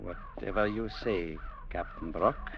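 An actor playing a courteous, quietly stubborn young Scottish newcomer in an old radio adventure drama. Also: male voice, low-pitched, a little hiss or hum. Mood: restrained, polite. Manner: measured, courteous, quietly firm. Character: young Scottish newcomer clerk, polite but stubborn man. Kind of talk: 1940s-50s radio drama acting and narration. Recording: dull old radio broadcast.

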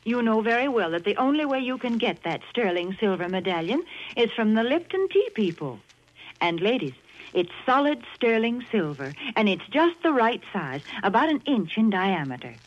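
A woman co-hosting a 1940s radio show and reading its sponsor's advertisement in a cheerful, persuasive manner. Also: medium pitch. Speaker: co-host and commercial announcer. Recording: dull 1940s radio broadcast.

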